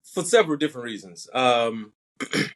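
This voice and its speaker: A man's voice: medium pitch, big pitch swings, moderate pace, a bit bright. A young man with a light Southern accent, an actor answering interview questions in a thoughtful, methodical way. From Alabama. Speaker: actor and podcast guest.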